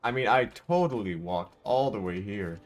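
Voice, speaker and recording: A man's voice: lowish voice, animated, normal speed. A man livestreaming a video game with casual, playful, laid-back commentary. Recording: game music under the voice. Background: game music.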